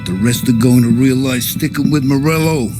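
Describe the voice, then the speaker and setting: An actor playing a shrewd 1930s American gangster who lays out plans matter-of-factly in a game cutscene. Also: male voice, mid-range voice, normal speed, lightly acted. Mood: cool, scheming. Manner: matter-of-fact. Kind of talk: cinematic game cutscene acting. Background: loud dramatic music.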